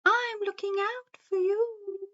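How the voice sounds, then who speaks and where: Watchful old owl for a kids story, high hooty voice, slow. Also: female voice, steady tone, a bit bright, muffled recording, exaggerated voice. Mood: watchful hooting. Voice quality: hooting. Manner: drawn-out storybook pronouncements.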